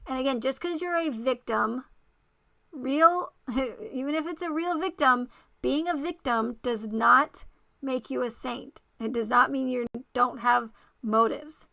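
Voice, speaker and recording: A woman hosting a casual livestream, talking straight to her viewers by name and repeating herself, her voice going raspy. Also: high voice, unhurried, bright, forceful tone, dry recording. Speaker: livestream host.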